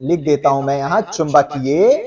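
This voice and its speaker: A male coaching teacher with a high-pitched voice, picked up at some distance from the microphone. He explains in a conversational lecture style, addressing students directly and repeating little check phrases as he goes. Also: normal speed, warm tone. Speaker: exam-coaching geography teacher.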